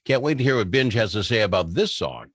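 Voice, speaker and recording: A man's voice: on the low side, lively intonation, fast talker, fairly bright tone, no echo, clean studio sound. A man hosting a radio talk show in a casual, bantering style.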